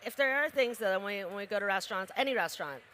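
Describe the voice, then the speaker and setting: Bright young woman, quick and laughing. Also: medium pitch, lively intonation, slight background noise. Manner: quick, laughing, many fillers. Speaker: restaurant co-owner. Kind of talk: casual interview chat.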